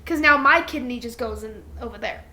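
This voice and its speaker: A woman's voice: a little high, brisk, a crowd in the background. A young woman co-hosting a podcast, chatting casually with a friend and explaining things informally, acting out quotes as she goes. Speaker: podcast co-host. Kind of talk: casual chat between friends. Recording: roomy echo, faint background noise.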